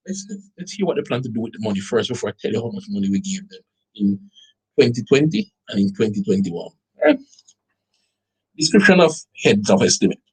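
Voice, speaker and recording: Jamaican guy, kinda husky voice, reading stuff out and going "this is crazy right?" to viewers. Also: medium pitch, poor audio. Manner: animated, incredulous, rhetorical questions to viewers. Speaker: online show host. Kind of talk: commentary reading out a document.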